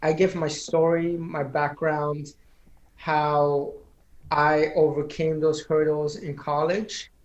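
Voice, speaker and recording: Earnest motivational man, slightly high voice. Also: flat delivery, slow pace, reverberant, lo-fi sound. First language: not English. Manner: earnest, motivational, speech-like delivery. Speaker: interview guest, public speaking coach. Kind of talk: casual interview chat.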